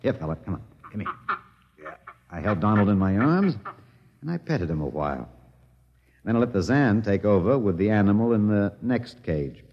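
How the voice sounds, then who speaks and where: Low-voiced wry survivor, vintage radio drama. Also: male voice, very animated, moderate pace. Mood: wry, explaining. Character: wry, tender male survivor, last man on earth. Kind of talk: 1950s radio drama acting. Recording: dull vintage radio recording, long reverb.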